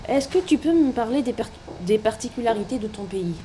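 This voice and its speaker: A woman's voice: on the high side, expressive, fairly quick, dark tone. A woman interviewing a guest in a casual way, asking short questions and prompting with brief replies. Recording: noisy background.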